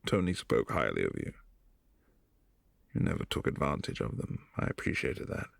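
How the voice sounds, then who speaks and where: A man voicing a brooding, lonely vampire prince in an ASMR roleplay, speaking softly and intimately in halting phrases, close to the mic. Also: very deep voice, big pitch swings, creaky, no echo, heavily acted. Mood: wistful. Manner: soft-spoken, intimate, halting.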